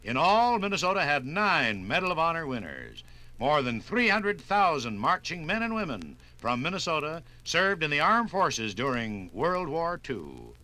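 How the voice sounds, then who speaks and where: A man's voice: on the high side, wildly swooping pitch, moderate pace, bright, forceful tone. A man narrating a 1950s radio documentary with a stirring, emphatic delivery.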